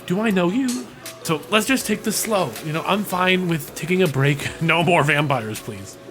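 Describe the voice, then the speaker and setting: A man's voice: high-pitched, lively intonation, normal speed, music in the background. A man playing a wary, joking, weary young man in an audio drama.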